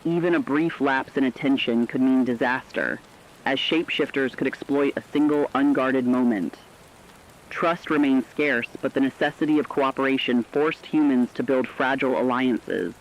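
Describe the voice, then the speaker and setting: A man with a mid-pitched voice reads dramatic scripted narration in a serious, measured manner. The sound is muffled and lo-fi, with rain behind the voice. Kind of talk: scripted dramatic documentary-style narration of an apocalypse story.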